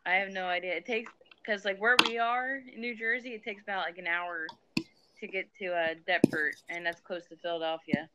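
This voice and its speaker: A woman's voice: medium pitch, steady tone, a little fast, noisy background. An American woman chatting casually on an online video call. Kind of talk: casual chat.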